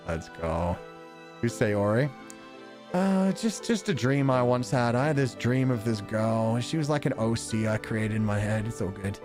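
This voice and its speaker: A man with a mid-pitched, very lively voice chats casually to his livestream audience. His delivery is wry and sarcastic, with offhand swearing. Music plays behind him. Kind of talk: casual livestream chat with viewers.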